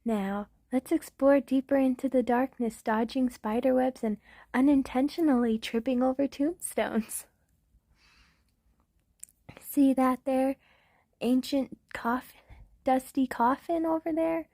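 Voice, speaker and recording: A woman's voice: high voice, steady tone, slow pace, warm tone, no room sound, studio quality, exaggerated voice. A woman voicing a clumsy, goofy male vampire count in a comedic ASMR roleplay, giggly and theatrical. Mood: playful. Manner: giggly, theatrical, breaks into laughter.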